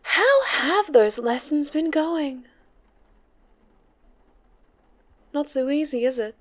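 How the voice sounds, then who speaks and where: A woman playing an obsessive, possessive winged superhero in a horror ASMR roleplay, sweetly menacing and taunting, often slipping into breathy whispers. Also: high voice, lively intonation, measured pace. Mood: mocking, sweet.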